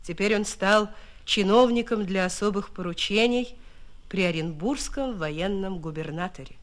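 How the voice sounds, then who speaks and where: A woman with a medium-pitched voice narrates a scripted radio documentary drama. She phrases deliberately, telling the material like a story.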